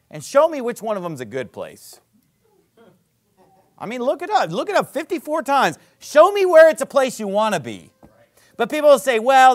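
High-voiced man preaching emphatically. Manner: emphatic preaching, swinging between loud peaks and low drops; sarcastic asides. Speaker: pastor. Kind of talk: sermon.

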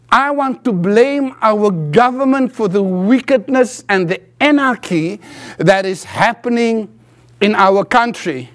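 High-voiced South African preacher. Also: male voice. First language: Afrikaans. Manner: earnest pulpit preaching with halting restarts. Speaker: guest preacher. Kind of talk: sermon. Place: church, from the pulpit.

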